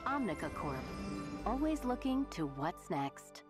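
An actress playing a confident announcer in a polished futuristic commercial voice-over. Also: female voice, medium pitch, big pitch swings, measured pace. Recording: music behind the voice.

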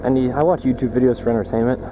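A man's voice: medium pitch, fairly even intonation, very fast. A man with an American accent giving casual, rambling, joking commentary while riding a motorcycle. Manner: joking, irreverent, self-deprecating. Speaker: motovlogger. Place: outdoors riding a motorcycle in city traffic. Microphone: external mic worn while riding. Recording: loud engine and wind noise. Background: motorcycle engine, traffic and wind.

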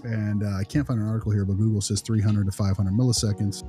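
A man's voice: on the low side, steady tone, fast, dark tone, soft background music. A man explaining things casually as a podcast co-host.

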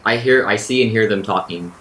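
A man's voice: lowish voice, fairly quick, fairly bright tone, rough recording. A man talking casually as a player in an improvised role-playing game. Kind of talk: casual in-character table talk. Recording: faint room noise behind.

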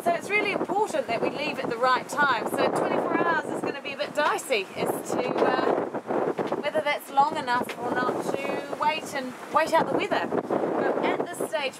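A woman talks thoughtfully to the camera on a boat deck, pausing often with ums and restarts. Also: high-pitched. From New Zealand. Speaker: sailing vlogger. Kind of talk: reflective talk to camera. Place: open deck of a sailing boat at sea. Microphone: camera held close. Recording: loud wind and sea noise.

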